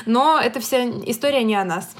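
Woman, podcast co-host, high voice, casual humorous storytelling, rambling, self-deprecating, lighthearted. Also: expressive, fairly quick.

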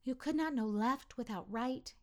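A woman playing a kindly, wise and amused God who explains things gently and deliberately in a children's story. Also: fairly high pitch, voice pushed up, natural voice. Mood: teaching.

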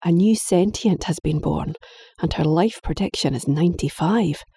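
A woman's voice: fairly low pitch, moderate pace, mellow, slightly rough. A woman voicing a stern, weary middle-aged father, lecturing patiently but firmly.

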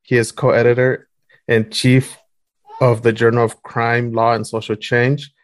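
Flat-voiced man reading an intro. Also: mid-range voice, unhurried. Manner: even, reading a formal bio. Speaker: podcast co-host. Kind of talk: scripted guest introduction.

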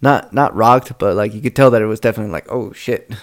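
A man's voice: fairly low pitch, fast talker. Joking, cursing man in casual chat. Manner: joking, teasing, swears freely, laughs often. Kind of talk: casual chat about fights.

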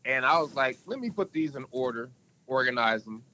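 A man's voice: animated, a little fast, sharp tone, a little hiss or hum, rough recording. A man guest on a podcast, talking in a casual, emphatic, storytelling way. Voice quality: can go deep. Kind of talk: casual interview talk.

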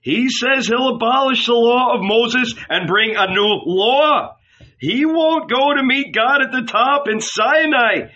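A man's voice: extremely high pitch, takes it slowly, pushed and bright, highs cut off, pitched above the performer's own voice, exaggerated voice. A troubled old father and rabbi of a literary tale, as a man acts him out. He is fearful and fretful, speaking in a raised, tense voice. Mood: agitated. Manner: trembling, fretful.

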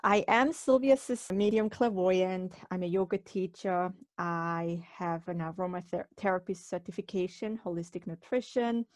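A woman's voice: lowish voice, moderate pace, hardly any pauses, a little mellow, no room sound. A middle-aged woman from Switzerland hosting a podcast in a conversational style. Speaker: podcast host.

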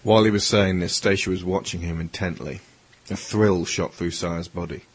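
A man's voice: low voice, steady tone, normal speed, husky. A man reading scripted third-person story narration for an audio drama. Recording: music under the voice.

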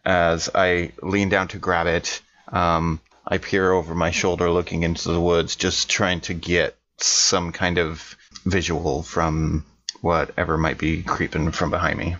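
A man with a low voice plays a tabletop horror game. He casually improvises his character's actions in narration. The recording is a little dull, shaving off the top end. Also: little pitch movement, a little slow, a bit bright.

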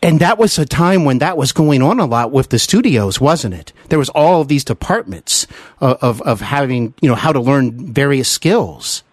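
Man, American accent, interview host, effusive, hesitant phrasing, animated pitch, dry recording. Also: normal speed, no room sound. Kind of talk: conversational interview.

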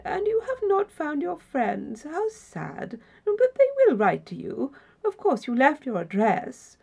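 A woman voicing a courteous, formal older gentleman in an audiobook story, a solicitous and welcoming host. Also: high voice, huge pitch swings, slow pace, creaky, voice pushed up, exaggerated voice. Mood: kindly, solicitous.

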